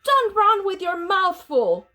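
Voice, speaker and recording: A woman voicing a bossy, scolding mother cat from a storybook, loud and emphatic. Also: very high-pitched, big pitch swings, takes it slowly, pitched above the performer's own voice, exaggerated voice. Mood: hollering.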